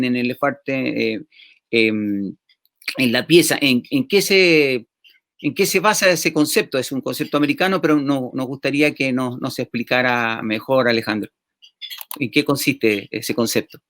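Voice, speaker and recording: A man interviewing a guest, asking questions in a halting way with repeated words. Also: mid-range voice, fairly bright tone, no echo, studio quality.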